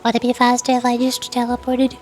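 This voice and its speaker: A woman's voice: a little high, completely flat delivery, a little fast, a little mellow, faint music behind. A woman VTuber with a playful, cutesy, chatty manner, commenting on a game and reading its dialogue aloud.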